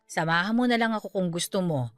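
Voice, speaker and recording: A low female voice over soft background music, an actress playing a middle-aged aunt in a radio drama. She is grieving yet steadfast and caring, and talks in a natural, conversational way. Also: fast, natural voice. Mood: weary, tender. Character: grieving aunt who just lost her parents, caring, steadfast. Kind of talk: Tagalog radio drama acting, naturalistic dialogue. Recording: music bed under the voice.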